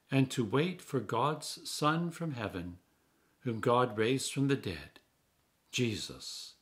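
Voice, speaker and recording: An older man reading scripture solemnly as a clergyman, with measured, deliberate phrasing and emphatic stresses. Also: medium pitch. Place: small indoor room.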